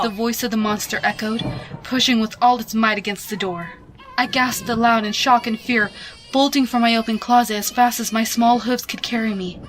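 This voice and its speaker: A woman giving a hushed, expressive, dramatic reading of a suspense story, with music behind her. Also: a little high, a little hiss or hum, rough recording. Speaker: fan fiction reader.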